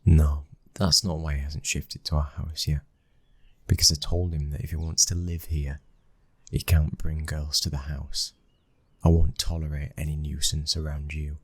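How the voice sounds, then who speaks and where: A man with a British accent acting as a brooding, protective young mafia boss's son in an intimate ASMR boyfriend roleplay, speaking in a husky, hushed, intense way that often nears a whisper. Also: very deep voice, level tone, moderate pace, no room sound, exaggerated voice. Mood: firm, protective.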